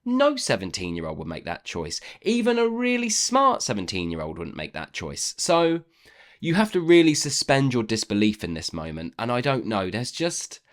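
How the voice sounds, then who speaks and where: A man's voice: mid-range voice, huge pitch swings, normal speed. A man giving a casual book review in a chatty, opinionated way, repeating words for emphasis.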